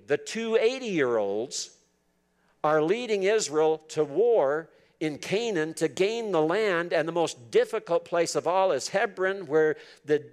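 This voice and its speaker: A man in his seventies giving a Bible teaching, reading verses aloud and explaining them earnestly. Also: on the high side. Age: 70s. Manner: earnest, switches between reading aloud and commentary. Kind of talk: sermon, reading and explaining scripture.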